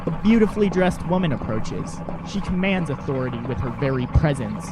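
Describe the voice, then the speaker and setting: A woman's voice: sits low, expressive, fairly quick. A woman narrating an audio drama from a script. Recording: clear background noise and clicks.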